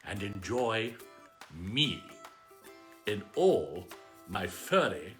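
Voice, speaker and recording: Casting: pompous, affectionate cat aristocrat, theatrical livestream host, bright tone, wildly animated pitch. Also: very slow, soft background music, heavily acted. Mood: chummy, grandiose. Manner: pompous, gushing, luvvie exclamations. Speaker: live bedtime story host. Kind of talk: theatrical livestream greeting and shout-outs.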